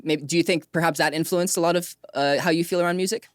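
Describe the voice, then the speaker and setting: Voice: medium pitch, fairly even intonation, fast, no echo, clean studio sound. An interview show host with an encouraging, chatty manner who tends to repeat short phrases. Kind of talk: upbeat interview hosting with scripted introduction.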